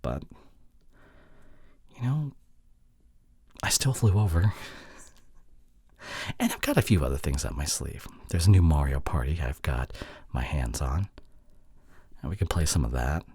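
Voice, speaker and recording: A very low-voiced young boyfriend, acted by a man in an intimate roleplay audio drama. He is sheepish and affectionate, pleading softly in a breathy, halting way, and his pitch moves around a great deal. The sound is close and very dry. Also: expressive, dry recording, exaggerated voice. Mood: apologetic, coaxing. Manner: soft, halting, pleading delivery with breathy drops toward a whisper.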